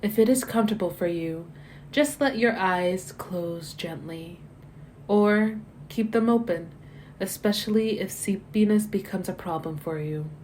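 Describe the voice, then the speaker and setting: A woman guiding a body scan meditation as scripted narration, giving gentle, measured, soothing instructions that soften at the ends of phrases. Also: mid-range voice, slight background noise. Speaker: meditation guide.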